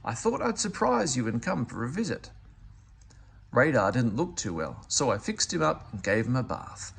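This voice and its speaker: Storybook grandmother bird, elderly and caring, acted by a man, animated pitch with a creaky edge. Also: moderate pace, a little hiss or hum. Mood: affectionate, reassuring. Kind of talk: children's storybook read-aloud.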